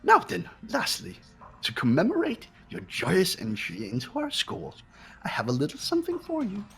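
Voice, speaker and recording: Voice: mid-range voice, wildly swooping pitch, moderate pace, gravelly, fairly bright tone, full character voice. A performer voicing a gleefully sadistic robot teddy-bear headmaster in a fan game dub, theatrical and mock-polite. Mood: chirpy salesmanship. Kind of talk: fan voice acting of a video game.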